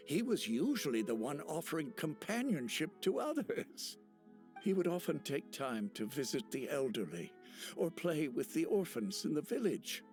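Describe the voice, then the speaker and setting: An actor playing a humble, gentle elderly village chief in a video game, speaking in a halting, wistful manner. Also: male voice, a little high. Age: older. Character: kindly, humble elderly male village chief with failing eyesight. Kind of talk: video game character acting.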